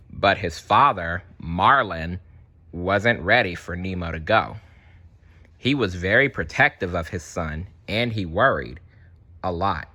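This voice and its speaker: A man reading a children's storybook aloud in an animated, chatty way, slipping in casual asides. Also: deep voice. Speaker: storybook reader.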